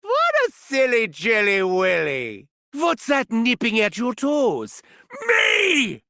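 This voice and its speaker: An actor playing a gleeful, sadistic mad scientist villain in a video game, theatrical and taunting, with a German accent. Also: male voice, very high voice, wildly swooping pitch, slow pace, bright, forceful tone, no room sound, clean studio sound, heavily acted. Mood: playful, taunting. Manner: theatrical, swooping from gleeful shrieks to sinister murmurs. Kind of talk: video game character voice lines.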